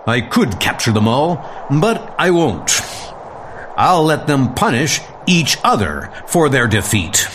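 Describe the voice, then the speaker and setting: A man's voice: medium pitch, big pitch swings, slow pace, a bit bright, a little hiss or hum. A man voicing a mighty Norse thunder god who speaks in a heroic, commanding way, with music behind. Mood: proud.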